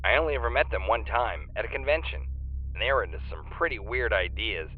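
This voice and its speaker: An actor playing an earnest, talkative fan-fiction writer, heard over a phone call in an audio drama. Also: male voice, fairly low pitch, animated, fairly quick, slight background noise. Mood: wary, emphatic. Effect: phone call filter. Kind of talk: naturalistic audio drama acting. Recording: thin, band-limited phone line.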